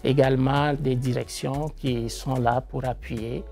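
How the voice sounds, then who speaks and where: A man from Togo who teaches math and science, speaking in an interview with hesitant pauses and fillers. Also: mid-range voice, fairly even intonation, gravelly, darkish tone. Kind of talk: interview testimony.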